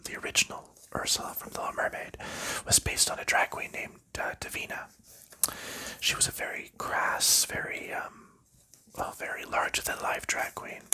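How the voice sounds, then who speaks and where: A man whispering softly through an ASMR board game session, chatting in a rambling, easygoing way while sorting cards. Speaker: ASMR host.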